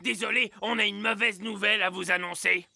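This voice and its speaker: A man's voice: high-pitched, fairly even intonation, brisk, creaky, sharp tone, no echo, full character voice. An actor voicing a bashful, lovestruck little male gnome in a cartoon, stammering and earnest. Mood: polite. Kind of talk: French cartoon dub.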